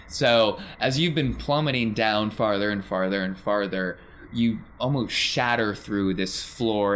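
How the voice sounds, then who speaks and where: Guy game mastering, low voice, describing scenes off the cuff, noisy recording. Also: fairly bright tone. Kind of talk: improvised tabletop game narration.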